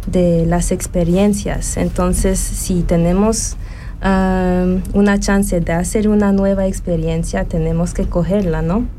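Slow, mellow-voiced woman guest. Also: on the low side, little pitch movement, a little hiss or hum. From Geneva, Switzerland. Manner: hesitant, gentle, many fillers. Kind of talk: casual interview chat.

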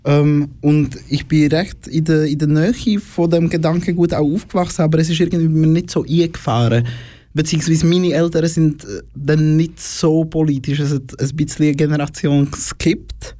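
Young man with a dark, mellow voice. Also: on the high side, fairly even intonation, normal speed, dry recording. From former Eastern Bloc. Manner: hesitant, many fillers, laughs often. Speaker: guest. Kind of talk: casual studio conversation. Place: studio.

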